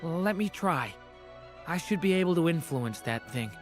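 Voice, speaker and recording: An actor voicing an earnest, determined young adventurer in a video game, with an expressive delivery. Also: medium pitch, normal speed, close to an everyday voice. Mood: hesitant resolve. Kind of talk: dramatic video game voice acting. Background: faint game music.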